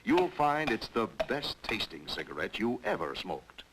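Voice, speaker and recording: An American man reading a 1950s advertisement as an announcer, with a polished, measured sales pitch. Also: sing-song, heavy background noise. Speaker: commercial announcer. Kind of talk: 1950s cigarette advertisement narration.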